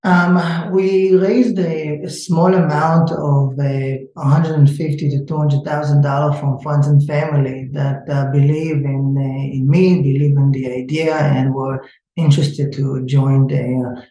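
A man with an Israeli accent answers questions about his startup, pausing often on "uh" and "um" and restarting his sentences. His voice sounds dark and mellow, and it rings in a very echoey room. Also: a little high, level tone, muffled recording. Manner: hesitant, many fillers and restarts. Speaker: startup founder. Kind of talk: interview answers.

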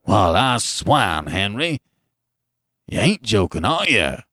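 A stocky, plain country farmer, put on by a male narrator, American and folksy. His voice is bright and forceful, recorded very dry. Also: lowish voice, big pitch swings, slow pace, slightly rough, no echo, pitched below the performer's own voice, heavily acted. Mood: astonished. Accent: rural American. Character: solid, pursy, astonished farmer with a hard red face.

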